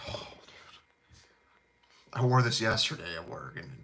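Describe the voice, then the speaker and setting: A middle-aged man with a heavy Chicago South Side accent and a bright, forward tone. He rambles in a laid-back, chummy way, dropping fillers and casual slang as he talks about colognes with his viewers. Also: on the low side, animated, measured pace, slight background noise. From Chicago, USA. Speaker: fragrance channel host. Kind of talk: casual live chat.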